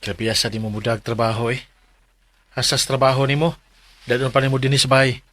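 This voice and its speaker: A man's voice: mid-range voice, flat delivery, fairly quick, bright, forceful tone, no echo. A man with a Cebuano accent acting a concerned, nagging husband and father in a radio drama.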